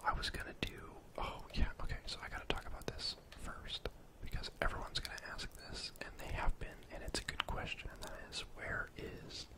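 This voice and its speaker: Whispering young man, gaming ASMR. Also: extremely high pitch. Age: young adult. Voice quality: breathy unvoiced whisper. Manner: casual, rambling, informal. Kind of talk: whispered ASMR game commentary. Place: indoor room at home.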